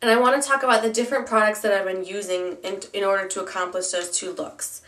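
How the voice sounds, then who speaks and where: A woman's voice: medium pitch, steady tone, quick pace, fairly bright tone, recorded from a distance. Nasal young woman with a cold, echoey room. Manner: chatty, with frequent ums and restarts. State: stuffy-nosed from a cold. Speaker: beauty vlogger. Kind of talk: casual product review.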